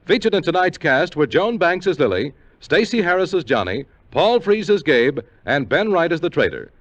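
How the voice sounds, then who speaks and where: A man's voice: fairly high pitch, big pitch swings, moderate pace, no echo. An American man working as a 1950s radio announcer, reading scripted promotion and credits. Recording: muffled vintage 1950 radio recording.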